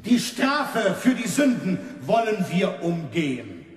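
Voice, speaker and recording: A stern reformation preacher lectures slowly and emphatically in a radio play. His male voice is pitched high and rings through a long, echoing hall. The delivery is declamatory and authoritarian. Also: sing-song, full character voice. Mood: condemning, righteous. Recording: echoey hall with long reverb.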